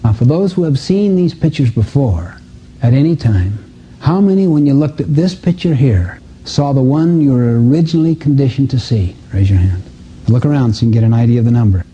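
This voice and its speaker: A man's voice: mid-range voice, animated, brisk, mellow, slight background noise. A man giving a motivational seminar lecture, telling anecdotes in an earnest, emphatic way. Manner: earnest, emphatic, storytelling delivery. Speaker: seminar speaker. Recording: dull muffled lo-fi audio.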